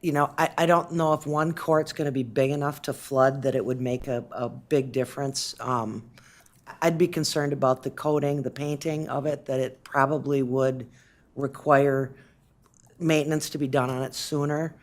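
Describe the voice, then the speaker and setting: A woman on city staff answering council questions at a meeting, speaking with frequent pauses and restarts. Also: extremely low pitch, fairly even intonation. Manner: hesitant, many fillers and restarts. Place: council chamber.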